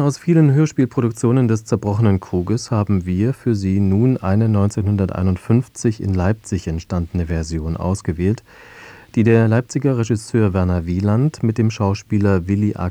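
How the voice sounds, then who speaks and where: A male radio announcer presents a drama from a script. His voice is low and very mellow, recorded close and dry in a studio. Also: no room sound. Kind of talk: scripted introduction to a radio play. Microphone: close studio microphone, dry.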